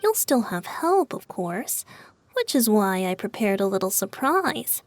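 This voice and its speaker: A woman's voice: medium pitch, sing-song, measured pace. A woman voicing a playful, whimsical boyish wind-god bard in a video game, airy and theatrical. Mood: breezy, playful. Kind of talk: English video game dub. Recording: music behind the voice.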